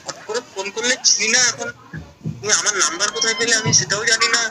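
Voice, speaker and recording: A man's voice: high voice, fairly even intonation, fast, a loud crowd, poor audio. A man with a West Bengal accent chatting casually on the phone, questioning and insistent. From West Bengal, India. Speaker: prank call recipient.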